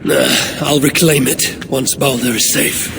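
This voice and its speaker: An actor playing a stern, determined Norse warrior god in a video game, speaking tersely. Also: male voice, mid-range voice, fairly even intonation, a little slow, long reverb tail. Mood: defiant. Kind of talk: video game cutscene acting. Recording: clear background ambient noise.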